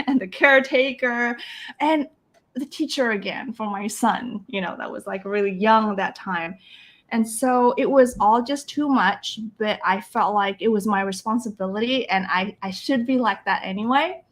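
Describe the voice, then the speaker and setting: A woman's voice: fairly high pitch, a bit bright. A middle-aged woman from Indonesia, a life coach, talking reflectively in an interview with many restarts and small laughs. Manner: earnest and reflective, frequent restarts, quotes others' voices, laughs often. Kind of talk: conversational interview storytelling.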